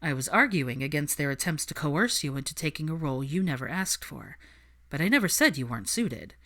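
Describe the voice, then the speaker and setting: Woman as a cool, dry young man, low-voiced. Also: big pitch swings, a little fast, a bit bright, full character voice. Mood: dry, affectionate. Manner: understated, dry, assured.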